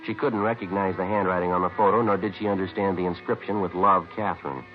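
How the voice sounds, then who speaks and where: An actor playing a terse, businesslike police sergeant in a 1950s radio crime drama, speaking in a clipped, matter-of-fact way. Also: male voice, deep voice, fairly even intonation, quick pace, lightly acted. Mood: businesslike, deadpan. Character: terse, businesslike male police sergeant narrating his case. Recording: muffled vintage radio recording with music.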